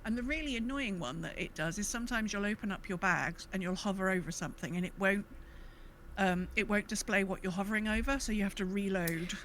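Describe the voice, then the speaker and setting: A woman's voice: mid-range voice, brisk, a bit bright, a little hiss or hum. A woman podcast co-host chatting casually about gaming, griping with emphatic, exasperated rhetorical questions.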